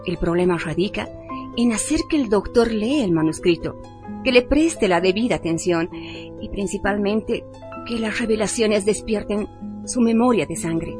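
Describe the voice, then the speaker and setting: A woman's voice: medium pitch, animated, normal speed, over music, natural voice. A woman playing a proud, intense noblewoman in a radio drama, with solemn declamatory delivery. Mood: worried, resolute. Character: proud, intense noblewoman of ancient pure bloodline.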